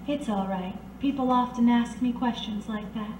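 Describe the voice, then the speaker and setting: A woman's voice: fairly high pitch, moderate pace, natural voice. A woman playing a worldly, soothing working woman in an old-time radio detective drama, coaxing and unflappable. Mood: knowing, calm. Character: blind, worldly, soothing 1930s working woman who knows underworld secrets. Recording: roomy, distant amateur recording, faint noise.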